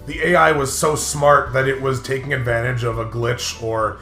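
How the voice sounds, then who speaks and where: A man's voice: mid-range voice, little pitch movement, normal speed, bright, forceful tone. Rambling man in a roomy, music-backed recording. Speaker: co-host of a gaming playthrough show. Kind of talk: casual chat over a video game. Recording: roomy echo with music behind.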